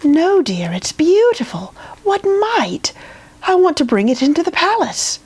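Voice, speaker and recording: A woman playing a possessive storybook queen who gushes in a high, breathy voice. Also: very animated, unhurried, darkish tone, heavily acted. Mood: gushing.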